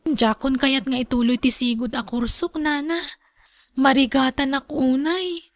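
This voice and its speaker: A woman's voice: sits high, steady tone, a little slow, no echo. Actress as anxious young Ilocano woman, plaintive. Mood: downcast. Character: anxious young pregnant woman, meek and pleading. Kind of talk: radio drama acting.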